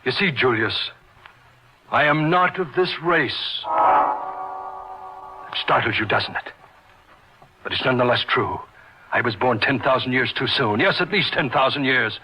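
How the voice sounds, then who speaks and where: An actor playing a brilliant, lonely and superior young surgeon in old-time radio horror style, speaking gravely and deliberately. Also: male voice, mid-range voice, lively intonation, sharp tone. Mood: confiding, intense. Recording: muffled radio drama with background hiss.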